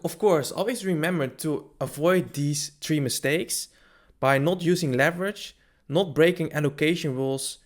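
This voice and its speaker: A man's voice: on the high side, moderate pace. A man hosting a financial analysis video, explaining points in plain, step-by-step fashion. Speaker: host of a stock analysis video.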